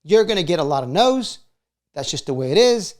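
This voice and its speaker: A man's voice: a little high, big pitch swings, a little fast, darkish tone. A middle-aged man giving casual motivational advice as a video host, conversational and often checking in for agreement. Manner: conversational, frequently seeks agreement with short check questions.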